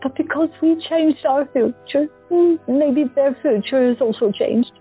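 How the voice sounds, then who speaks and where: A woman's voice: sits high, unhurried, narrow, tinny audio, soft background music. A woman voicing an earnest visionary patient. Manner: earnest, pleading. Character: female psychiatric patient with visions, earnest and fateful. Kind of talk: audio drama acting.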